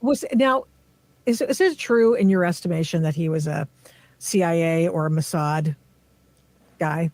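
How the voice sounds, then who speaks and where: A woman's voice: lowish voice, measured pace, long pauses, darkish tone, no echo. A woman hosting a podcast, interviewing a guest in a conversational style. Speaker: podcast host.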